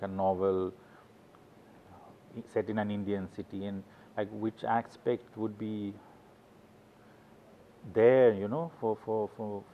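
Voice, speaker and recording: A man's voice: lowish voice, slight background noise. Middle-aged guy talking english, author telling rambling stories, keeps restarting, kinda muffled lo-fi audio. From Sweden. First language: Swedish. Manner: rambling, anecdotal, halting restarts. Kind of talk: casual interview talk.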